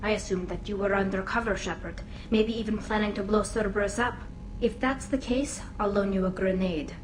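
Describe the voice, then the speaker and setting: A woman plays an earnest young quarian engineer in a sci-fi game. She speaks in a mid-range voice, blunt yet loyal, against steady background noise. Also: medium pitch, a little fast, echoey room, lightly acted. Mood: warm. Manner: earnest, blunt, a little guarded. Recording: game audio with ambient noise.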